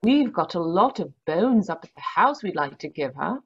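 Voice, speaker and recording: An actress playing a kind, tactful married woman in a radio mystery, gentle and polite in manner. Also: on the low side, very animated, moderate pace, slightly rough, full character voice. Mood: kindly. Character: Mrs. Watson, kind, tactful married Englishwoman. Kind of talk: old-time radio mystery acting. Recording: dull, lo-fi video call audio.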